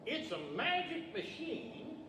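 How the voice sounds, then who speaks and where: A man playing a pompous, theatrical wizard who explains things deliberately in an old radio-play style. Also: very high voice, animated, heavily acted. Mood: explaining. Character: male wizard of Oz, fussy, theatrical, learned magician. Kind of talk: 1940s radio-style stage acting. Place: library stage, microphones on stands. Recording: echoey hall from far off, noisy.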